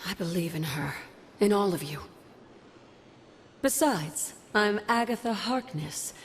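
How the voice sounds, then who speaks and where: A woman's voice: medium pitch, expressive, slow pace, a little hiss or hum. An actress playing a proud, tender ghost of a witch in a video game, earnest and self-assured. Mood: proud, resolute.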